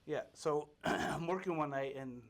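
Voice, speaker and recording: A man guesting on an interview, telling a story in a relaxed way and acting out the people in it. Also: fairly high pitch, a little slow. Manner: animated, acts out the other people in his stories; relaxed and laid-back, laughs often. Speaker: guest, film actor.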